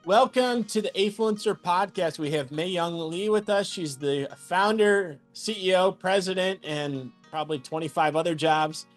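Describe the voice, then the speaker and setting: A man's voice: high-pitched, moderate pace, hardly any pauses, over music. Upbeat male podcast host. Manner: upbeat, chatty, with fillers. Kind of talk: podcast interview.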